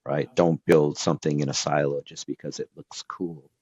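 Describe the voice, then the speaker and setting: A man's voice: very deep voice, little pitch movement, normal speed, darkish tone, no room sound, lo-fi sound. A man from Brazil whose first language is Portuguese, giving earnest advice as an interview guest with lots of fillers and restarts. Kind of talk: casual interview talk.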